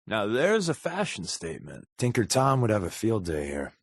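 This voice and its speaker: A wry, secretly wounded undercover agent from a video game. His voice is a lowish man's, husky and recorded close and dry. He talks conversationally, with deadpan sarcasm. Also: normal speed, dry recording, exaggerated voice. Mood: wry, sarcastic. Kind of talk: video game companion dialogue.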